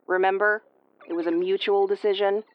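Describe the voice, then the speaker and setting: A woman's voice: medium pitch, level tone, fairly quick, muffled recording, natural voice. A woman playing a tough, prickly but secretly caring tsundere starship commander in an intimate audio roleplay, brusque and prone to stammering when flustered. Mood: guarded, teasing.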